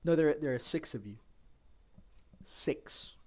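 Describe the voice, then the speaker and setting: A man's voice: a little high, animated, slow, warm tone. A man voicing a weary, scornful cartoon authority figure with a formal, withering manner. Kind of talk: cartoon parody voice acting.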